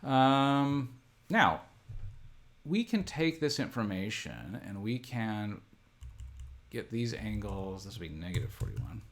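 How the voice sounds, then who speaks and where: A middle-aged man speaks in a fairly low voice with plenty of vocal fry. He is an instructor working through a demonstration at his computer, thinking aloud methodically and haltingly, one step at a time. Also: sing-song. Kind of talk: unscripted screen-share tutorial, thinking aloud. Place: indoor room at a desk, computer screen recording.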